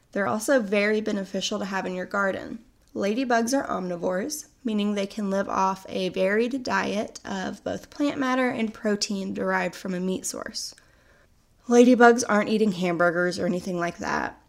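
A woman's voice: medium pitch, creaky. A young woman from North America hosting a casual solo podcast, chatty and upbeat, sounding a little sickly from a cold. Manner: chatty and upbeat, explaining facts. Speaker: podcast host.

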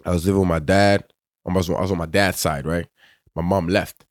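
Young male guest from Togo, very deep, casual and slangy, dry close recording. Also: fairly even intonation, brisk, no room sound. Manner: laid-back, slangy, lots of "you know", trails off quietly. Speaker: guest, soccer player. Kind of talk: casual interview.

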